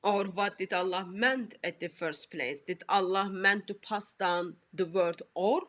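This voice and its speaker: A woman's voice: medium pitch, a little slow, a bit bright. A woman hosting a religious discussion over a video call, asking pointed, repetitive questions. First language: not Arabic. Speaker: host. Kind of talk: presenting a religious debate.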